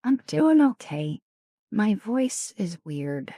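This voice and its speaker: A woman streamer chatting casually with viewers in a soft ASMR style, her voice raspy and deeper than usual, often slipping into whispers. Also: very animated, takes it slowly, no echo. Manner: chatty, greets and thanks viewers.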